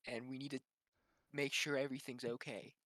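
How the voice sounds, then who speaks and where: A man's voice: mid-range voice, little pitch movement, brisk, pushed and bright, no echo. A man playing a tabletop roleplaying game, chatting casually as he decides what his character does.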